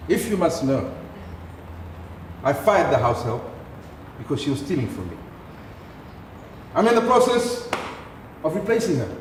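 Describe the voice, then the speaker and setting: A man's voice: fairly high pitch, very animated, measured pace, pauses often. An actor playing a stern, proud, wealthy father in a stage play, scolding his household with authority. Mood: reproachful. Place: theatre stage, recorded from a distance. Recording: echoey theatre hall from far off, faint noise.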